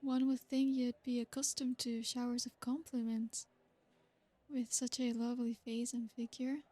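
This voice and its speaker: Female performer as an ancient noble vampire, seductive and possessive. High, even delivery, slow and formal. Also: no echo, close to an everyday voice. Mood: flirtatious. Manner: soft, formal and flattering. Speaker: ASMR roleplay performer. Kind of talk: ASMR roleplay.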